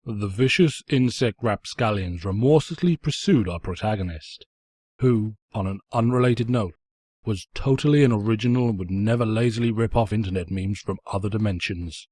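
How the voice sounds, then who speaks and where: A man's voice: on the low side, moderate pace, a little mellow, husky, dry recording, clean studio sound. A man reading a story as a theatrical narrator, mock-pompous and sardonic. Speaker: narrator, fan fiction reader.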